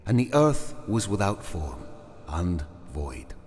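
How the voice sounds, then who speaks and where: A man playing an obsessed, remorseful mad scientist father in an audio drama, declaiming grandly and swinging from hushed to fervent. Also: low voice, very animated, takes it slowly, faint music behind, heavily acted. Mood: solemn. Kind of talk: theatrical audio drama monologue.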